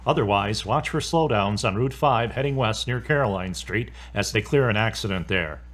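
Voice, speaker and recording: A man's voice: fairly low pitch, normal speed, a bit bright. A man playing a local radio traffic reporter, reading a bulletin in an audio drama. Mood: matter-of-fact.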